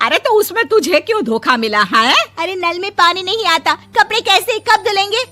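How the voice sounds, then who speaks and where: A woman's voice: extremely high pitch, lively intonation, normal speed, sharp tone, soft background music, exaggerated voice. A woman playing a dramatic young bride in a cartoon moral story, complaining melodramatically. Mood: frantic. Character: dramatic young bride obsessed with washing clothes. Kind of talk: animated moral story dialogue.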